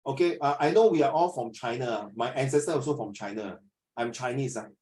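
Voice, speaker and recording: A man's voice: mid-range voice, fairly quick, a little mellow. A middle-aged man with a Singaporean English accent teaching a class, joking, wandering off topic and mixing in Chinese. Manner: joking, digressive, switching to Chinese. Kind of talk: classroom lesson.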